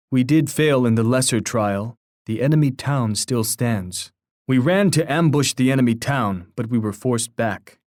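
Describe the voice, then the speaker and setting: An actor voicing a grave, dignified war leader in a video game, speaking in a measured, oratorical and formally poetic way. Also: male voice, mid-range voice, darkish tone, dry recording, studio quality, natural voice. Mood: somber, grave. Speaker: game character voice. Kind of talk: scripted video game voice lines.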